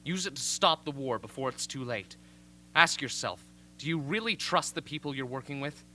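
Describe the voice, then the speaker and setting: A man's voice: medium pitch, expressive, moderate pace, sharp tone, a little hiss or hum. A man playing an earnest, strained young captive pleading urgently in a radio drama. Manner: urgent, emphatic, insistent. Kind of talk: amateur radio drama acting.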